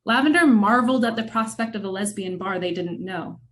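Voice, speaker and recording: A woman narrating a scripted film-noir radio drama in measured, dramatic storytelling with wry asides. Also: mid-range voice.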